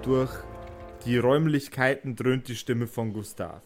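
A man narrating an improvised tabletop fantasy game as game master, in halting, deliberate phrases. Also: mid-range voice, a little mellow. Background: background music.

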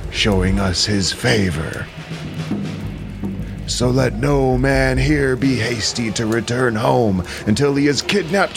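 An actor playing a stern old Greek horseman and war counselor in an audio drama, admonishing the men in commanding, declamatory speech. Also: fairly high pitch. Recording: clear ambient noise behind voice.

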